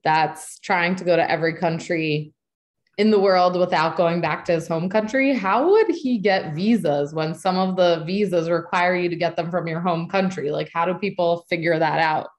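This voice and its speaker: A woman's voice: fairly low pitch, moderate pace. A young woman in her twenties hosting a video-call webinar from her living room, gushing and upbeat with lots of filler words. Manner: bubbly, gushing, many likes and ums. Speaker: host. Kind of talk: enthusiastic host moderating a webinar. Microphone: webcam on a video call.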